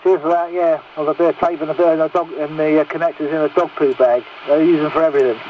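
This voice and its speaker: A man's voice: on the high side, steady tone, fast talker. A man chatting casually as a CB operator, through a distant, muffled, noisy radio transmission.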